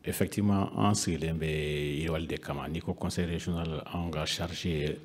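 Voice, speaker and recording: A man's voice: sits low, fairly even intonation, fairly quick, breathy edge. A man in his fifties with a Malian accent, explaining as a panel guest in a hesitant way, often repeating words. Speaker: regional council official, panel guest. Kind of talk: panel discussion, explaining in Bambara mixed with French. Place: indoor panel room. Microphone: handheld microphone with foam cover.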